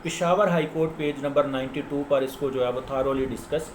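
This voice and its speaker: A man's voice: medium pitch, brisk. A man with a Pakistani accent giving an informal explanatory lecture as a lawyer, didactic and repeating key points for emphasis. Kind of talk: informal explanatory lecture citing case law. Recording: echoey room with faint background noise.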